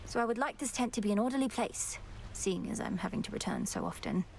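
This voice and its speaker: A woman's voice: mid-range voice, big pitch swings, fast, gravelly, darkish tone, slight background noise, rough recording. A woman playing a determined young witch princess in a video game, formal and earnest. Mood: exasperated. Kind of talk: video game character acting. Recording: music behind the voice.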